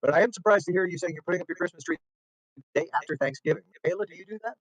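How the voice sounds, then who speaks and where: A man's voice: high voice, rapid-fire, low-quality recording. A man hosting a casual chat show in a playful, joking manner. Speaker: host.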